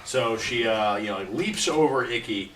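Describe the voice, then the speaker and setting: A man's voice: mid-range voice, lively intonation, a bit bright. A man playing a tabletop roleplaying game, hesitantly narrating his character's moves in casual talk. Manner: halting, hesitant. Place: around a table indoors at a home game. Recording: roomy, distant mic, faint noise.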